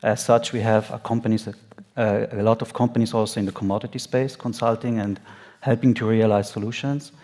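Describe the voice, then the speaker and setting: A man's voice: fairly low pitch, steady tone, fairly quick, darkish tone. A man introducing himself informally as a business partner on a conference panel.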